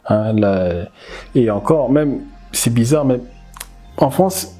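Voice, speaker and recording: A man from the DR Congo, a language teacher, giving animated, emphatic commentary and repeating words as he thinks aloud. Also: mid-range voice, takes it slowly, husky, faint music behind. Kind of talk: casual commentary video.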